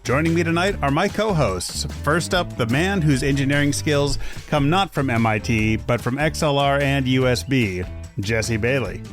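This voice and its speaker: A man's voice: mid-range voice, moderate pace. A male podcast host introduces his co-hosts and guest with scripted, pun-heavy lines. He delivers them with a showman's flair. Faint music plays underneath. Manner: theatrical, pun-filled introductions.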